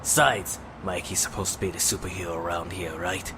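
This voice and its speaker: Man playing tough turtle hero, deep voice, laconic, teasing, noisy background. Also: fairly even intonation, fairly quick, sharp tone. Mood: teasing, reassuring. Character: tough, cool, teasing mutant turtle brother. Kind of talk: dramatic fan audio drama acting. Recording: clear background noise.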